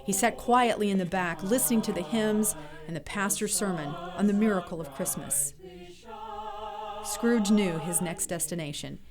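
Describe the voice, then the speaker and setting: A woman's voice: medium pitch, normal speed. A woman narrating an audio drama in a scripted storytelling style. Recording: music playing behind the voice.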